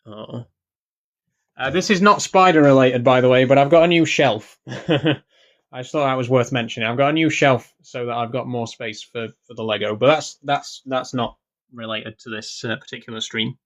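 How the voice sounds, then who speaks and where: A man's voice: mid-range voice, normal speed, lo-fi sound. A young man chatting casually on a live stream from his bedroom, rambling with lots of fillers. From England. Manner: rambling, relaxed, many fillers. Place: bedroom at home.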